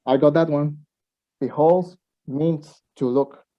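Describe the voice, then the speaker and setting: A man's voice: fairly high pitch, fairly even intonation, slow pace. A man playing a baffled, sarcastic young student in a radio play, sounding incredulous. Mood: puzzled. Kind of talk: amateur radio play acting. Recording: dull, muffled lo-fi microphone.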